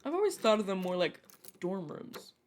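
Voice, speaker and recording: A woman's voice: medium pitch, very animated, moderate pace, natural voice. A woman voice actor playing a playful, sarcastic young vampire mentor who chats and teases in an audio roleplay. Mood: friendly, teasing. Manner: teasing, sarcastic, chatty. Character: playful, teasing vampire mentor, turned at nineteen. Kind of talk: narrative audio roleplay, one-sided conversation.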